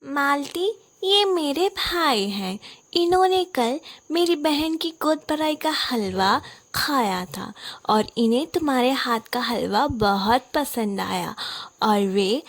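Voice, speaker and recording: A woman voicing a friendly, insistent neighbour in a children's cartoon, animated, effusive and full of coaxing encouragement. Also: high voice, slow, no echo, heavily acted. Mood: effusive, encouraging. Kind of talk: Hindi children's cartoon story acting.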